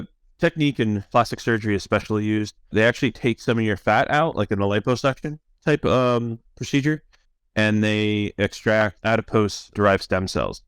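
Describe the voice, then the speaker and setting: A man answering questions as a science officer on a conference call, explaining in a measured, conversational way. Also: on the low side, smooth, no echo.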